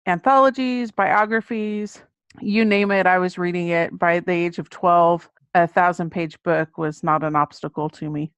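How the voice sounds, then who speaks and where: A woman's voice: lowish voice, fairly even intonation, normal speed, fairly bright tone, smooth, no echo, lo-fi sound. A middle-aged American woman, a children's book author, talking about her writing as an interview guest. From Texas, United States.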